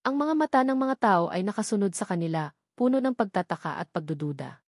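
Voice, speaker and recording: A woman's voice: medium pitch, a little mellow, dry recording, studio quality. A woman narrating a scripted dramatic story.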